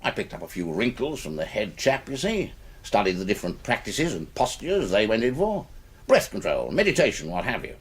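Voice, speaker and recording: A man playing a jovial, eccentric upper-class English gentleman adventurer in a British radio drama, with a plummy, chuckling storyteller's manner. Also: lowish voice, big pitch swings, fairly quick, slightly rough. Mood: boastful, relish. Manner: plummy, chuckling, theatrical raconteur.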